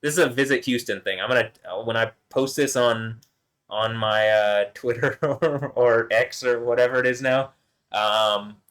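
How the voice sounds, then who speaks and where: A man's voice: steady tone, measured pace, fairly bright tone, clear, resonant tone. A man vlogging alone, rambling and swearing, often chuckling and trailing off mid-sentence. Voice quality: deep voice. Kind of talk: rambling solo monologue to camera.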